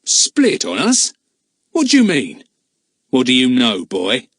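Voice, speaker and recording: A man voicing a menacing one-eyed male villain who talks roughly and mockingly, read in a storybook. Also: mid-range voice, wildly swooping pitch, slow, fairly bright tone, no echo, pitched above the performer's own voice, exaggerated voice. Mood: harsh demanding. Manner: rough, jeering. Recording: dry close mic.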